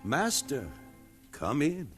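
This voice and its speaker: A man's voice: on the high side, big pitch swings, slow pace, loud music behind the voice. An actor playing a shrewd, deferential enslaved man reasoning persuasively in a 1950s radio drama. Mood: uneasy, deferential. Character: shrewd, placating enslaved man, secretly defiant.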